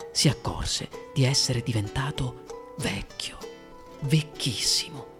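A man reading a literary story as dramatic narration, with deliberate phrasing and varied emphasis. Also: on the high side. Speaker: story reader. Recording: music behind the voice.

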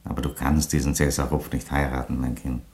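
Aging Roman emperor, extremely low voice, wry, measured, fatherly, radio drama. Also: little pitch movement, a little mellow. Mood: wry, earnest. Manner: measured, dry, sententious. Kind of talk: radio play acting.

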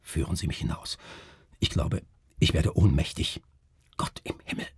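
Man acting a horrified, breathy, husky doctor. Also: extremely low pitch, normal speed, dry recording, studio quality, pitched below the performer's own voice, exaggerated voice. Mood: faint whisper. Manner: breathy groaning and whispering, dramatic. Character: horrified doctor, faint, agitated and urgent. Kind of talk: German literary radio drama reading.

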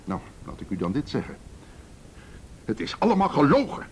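A man's voice: mid-range voice, huge pitch swings, moderate pace. An actor in a radio play playing a defiant, blustering businessman, belligerent and sneering, who stammers when cornered. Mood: indignant. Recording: faintly noisy radio drama recording.

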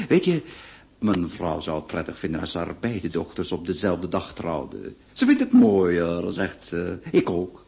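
Effusive, swooping, jovial marquis. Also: male voice, deep voice, huge pitch swings, slow, creaky, a little mellow, full character voice. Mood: jovial, insistent. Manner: effusive, overbearing, repeats words for emphasis. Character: jovial, domineering marquis pushing his daughter's marriage. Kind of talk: radio drama acting.

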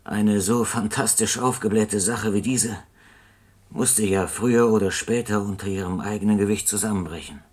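An actor playing a composed, trusting condemned prisoner in a German radio play, speaking in a measured, formal way. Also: male voice, lowish voice, darkish tone, husky. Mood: calm, trusting. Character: wrongly condemned male prisoner, composed, trusting, writing home. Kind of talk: German radio play acting, letter monologue.

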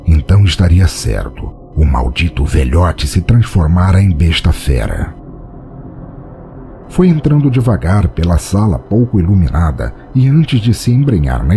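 A man's voice: low voice, warm tone, slight background noise. A man with a Brazilian accent narrating a dramatic scripted audio story.

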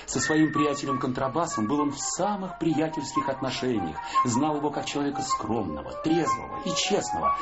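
Male narrator, theatrical storytelling, lively intonation, medium pitch, loud music behind, slightly dull sound. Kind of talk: scripted dramatized story narration.

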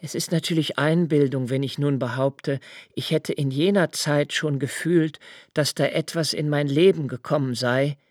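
A man's voice: a little high, fairly even intonation. Man narrating a literary radio drama. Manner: quiet, measured, inward and reflective. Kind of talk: literary first-person narration in a radio play. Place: studio.

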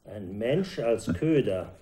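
A man's voice: fairly low pitch, lively intonation, slow, dark tone, low-quality recording. A man acting a grave, needling, sceptical investigator in a German radio drama. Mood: sardonic, uneasy.

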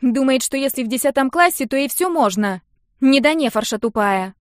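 A female narrator puts on a scrappy schoolgirl for an audiobook. She is cheeky and whiny, drawling bratty slang in a raised, high voice, captured in a dry recording. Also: no room sound, lightly acted. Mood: bratty, defiant. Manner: bratty, drawn-out slangy complaining.

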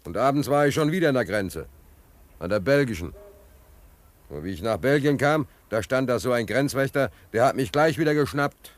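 An actor in a German radio play playing a stranded, penniless American sailor, plain-spoken, hesitant and stubborn. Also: male voice, medium pitch, dry recording, natural voice.

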